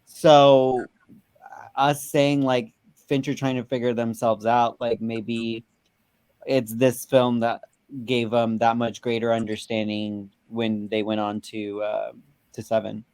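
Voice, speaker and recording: A man's voice: medium pitch, level tone, takes it slowly, darkish tone, clear, resonant tone, no room sound. A man in a casual film discussion, rambling and repeating words as he thinks aloud. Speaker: film review panelist.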